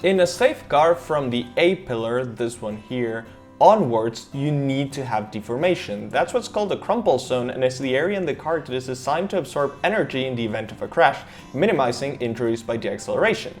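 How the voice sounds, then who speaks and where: A man's voice: mid-range voice, lively intonation, brisk, soft background music. A man from Argentina, speaking English as a second language, narrating a car safety explainer with enthusiastic emphasis. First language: Spanish. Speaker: car reviewer.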